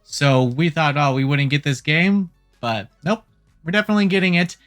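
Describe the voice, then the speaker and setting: A host delivering casual gaming news commentary in an irreverent, jokey way, swearing casually, with a medium-pitched voice. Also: normal speed, fairly bright tone. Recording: music playing behind the voice. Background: background music.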